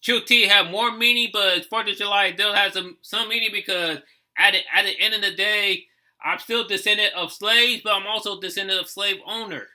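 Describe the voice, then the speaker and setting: High-pitched, forceful young man. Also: steady tone, moderate pace. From United States. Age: 30s. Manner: repetitive, outspoken, frequent swearing. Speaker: reaction video host. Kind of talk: casual, impassioned commentary.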